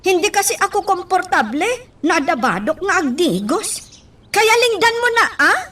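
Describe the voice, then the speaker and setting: A woman's voice: very high-pitched, big pitch swings, moderate pace, pushed and bright, far from the mic, heavily acted. A woman playing a playful, outspoken young woman in a radio drama. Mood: reluctant.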